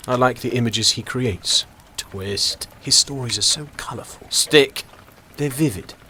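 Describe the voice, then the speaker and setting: Audio drama: a genial older doctor, courteous and opinionated. His voice is bright and forward at a middling pitch, with a little background noise. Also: a little slow. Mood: musing. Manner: jovial, courteous, musing.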